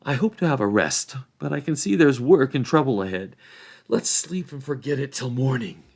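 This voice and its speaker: A man's voice: mid-range voice, expressive, moderate pace, creaky, a little mellow, pitched above the performer's own voice. A man reading a storybook, voicing a loyal, hot-tempered rustic young hobbit who scolds bluntly in folksy speech. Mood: weary, grumbling.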